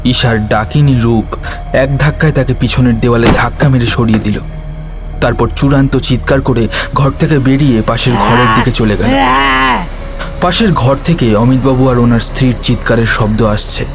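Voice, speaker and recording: Male horror narrator over soft music. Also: mid-range voice, normal speed. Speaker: storyteller, narrator. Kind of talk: scripted horror story narration.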